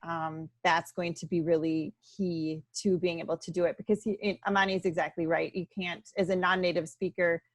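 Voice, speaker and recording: A woman language teacher on a video call panel, earnest and filling pauses with um and you know. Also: fairly low pitch, little pitch movement, no room sound. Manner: earnest, with frequent ums and you-knows. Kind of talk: webinar panel discussion.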